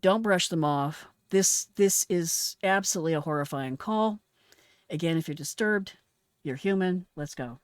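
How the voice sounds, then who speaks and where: A woman's voice: on the low side, normal speed, no room sound, clean studio sound. A woman giving frank, instructive, emphatic commentary as a host and retired emergency dispatcher, analysing a call.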